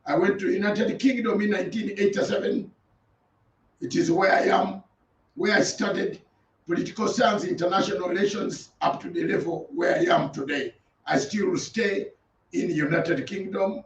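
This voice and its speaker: A man, an interview guest deliberately recounting his life story in listed steps. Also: high-pitched, slight background noise. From Uganda. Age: 60s. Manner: deliberate, list-like recounting with repeated phrases. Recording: distant, roomy and muffled.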